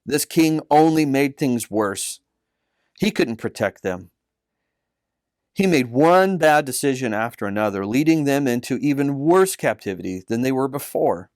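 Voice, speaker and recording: A man narrates a children's Bible story from a script, measured and earnest. His pitch sits in the middle, and the recording is very dry. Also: expressive, a little mellow, no echo. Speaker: storyteller, author reading own story.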